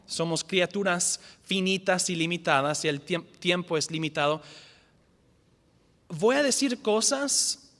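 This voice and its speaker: A man who is a non-native speaker, teaching a church lecture series as pastor, earnest, often restarting words and slowly repeating key definitions. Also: on the high side, a bit bright, in a large hall. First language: not the language spoken. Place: church hall.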